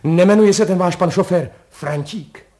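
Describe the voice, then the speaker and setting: A good-hearted storybook postman, played by a male narrator, chatty and excitable. He talks in homely, colloquial turns of phrase, courteous but very wordy. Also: medium pitch, natural voice. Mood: eager. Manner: colloquial, deferential yet exuberant, piling up words.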